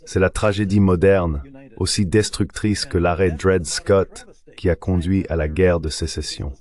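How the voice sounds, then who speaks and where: A man's voice: sits low, fairly even intonation, unhurried, darkish tone. A man's synthetic dubbing voice reading political commentary in a formal, articulate manner. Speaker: voice-over translator for a panelist.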